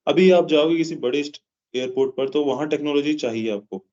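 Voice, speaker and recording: A man with an Indian accent explaining things in a measured way as an interview guest, pausing mid-phrase. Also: fairly high pitch, mellow. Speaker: interview guest, aviation professional. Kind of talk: explanatory interview answers in Hindi mixed with English. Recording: dull, lo-fi sound.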